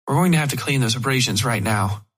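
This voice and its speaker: A man voicing a caring, professional woman in an audio horror story, speaking with measured, placating concern. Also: mid-range voice, fairly bright tone, slightly rough. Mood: concerned, clinical.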